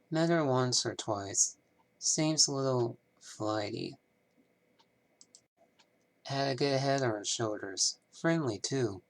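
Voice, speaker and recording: A man acts out a small-town banker of the 1930s in scripted game dialogue. He speaks very slowly in a mid-range voice, choosing his words formally. His temper is courteous and businesslike, with a wistful streak. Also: medium pitch. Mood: reflective. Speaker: amateur voice actor.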